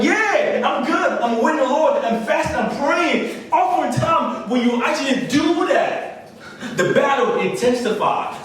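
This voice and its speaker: A man preaching a sermon in a chatty way, repeating words and laughing as he talks. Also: high-pitched. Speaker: pastor. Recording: echoey hall, mic far off, faint noise.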